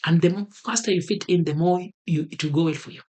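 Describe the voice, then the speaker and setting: A man's voice: on the high side, steady tone, quick pace, dark tone. Kenyan guy vlogging motivational tips, earnest, keeps repeating the main point. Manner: earnest, repeats key advice for emphasis. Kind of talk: motivational talk to camera.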